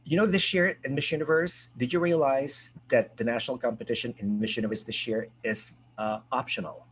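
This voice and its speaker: A man hosting a casual group video call, chatty and excitable, with lots of fillers and restarts. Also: mid-range voice, brisk, slight background noise. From United States. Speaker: host.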